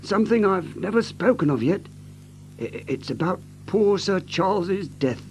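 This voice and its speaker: A man's voice: high-pitched, very animated, a little slow, a little hiss or hum, exaggerated voice. An actor playing a deferential household servant in an audio drama, pleading and hesitant as he speaks. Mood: humble, confiding.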